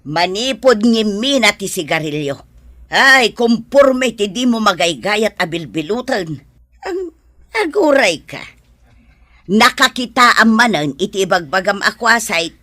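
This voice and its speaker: A woman's voice: mid-range voice, sing-song, a little slow, bright, forceful tone, heavily acted. A woman with an Ilocano accent playing a scolding, suspicious, gossipy neighbour in a radio drama.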